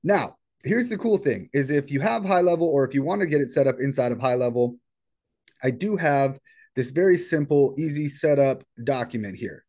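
A man walks through software in a casual screen-share style. He explains things step by step in an informal way, with a mid-pitched voice. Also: lively intonation, normal speed. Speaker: software tutorial presenter. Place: indoors at a computer.

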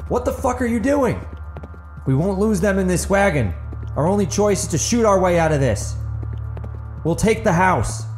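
A man voicing an excitable, desperate man under fire in an audiobook, urgent and defiant. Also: sits high, moderate pace, pauses often, faint music behind, voice pushed up. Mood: alarmed, determined. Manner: urgent, forceful wording.

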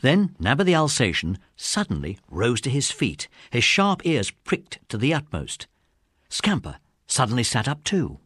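A man's voice: mid-range voice, wildly swooping pitch, measured pace, fairly bright tone, breathy edge, exaggerated voice. A man with an English accent narrating a children's adventure audiobook as a scripted story.

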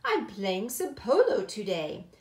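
A woman voicing a dapper, jovial young gentleman in a rhyming children's storybook, with old-fashioned exclamatory manners. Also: medium pitch, huge pitch swings, slow pace. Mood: jaunty, hurried. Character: dapper gallant young gentleman cousin, jaunty and hurried. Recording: roomy echo.

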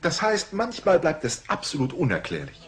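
An actor playing an earnest, professorial school psychiatrist in a cartoon, polite and enthusiastic. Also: male voice, on the high side, huge pitch swings, normal speed. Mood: earnest, eager. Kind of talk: dubbed cartoon acting.

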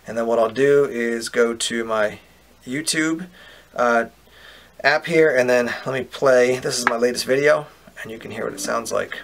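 A man giving a relaxed, step-by-step product demonstration outdoors, explaining as he goes with casual fillers. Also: mid-range voice, normal speed, gravelly, a little hiss or hum. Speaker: product reviewer. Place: outdoors on a paved patio.

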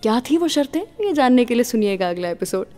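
A woman's voice: fairly high pitch, very animated, fast talker, dark tone, long reverb tail, faint music behind. A woman with an Indian accent presenting a scripted radio show, mixing English words into her speech.

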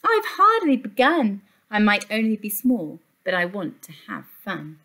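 A woman voicing a small, plucky runaway pea in a children's storybook, expressive and exclaiming. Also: mid-range voice, a little slow, heavily acted. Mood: cheeky.

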